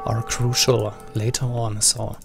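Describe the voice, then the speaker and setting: A man with a voice going hoarse, giving understated live commentary on a video game match. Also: medium pitch, level tone, takes it slowly, music in the background. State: losing voice, slightly hoarse. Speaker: esports match caster.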